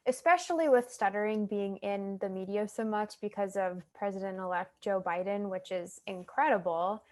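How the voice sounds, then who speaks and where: Young American woman, chatty and relaxed. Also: medium pitch, moderate pace. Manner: relaxed storytelling with many fillers. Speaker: guest, stuttering advocate. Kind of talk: casual interview chat.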